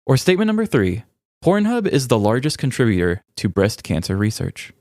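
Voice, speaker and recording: A man's voice: mid-range voice, huge pitch swings, brisk, darkish tone, dry recording, studio quality. A man with an American Midwestern accent chatting in a playful, informal way as a podcast co-host. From rural Midwest United States. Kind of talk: casual comedic podcast chat.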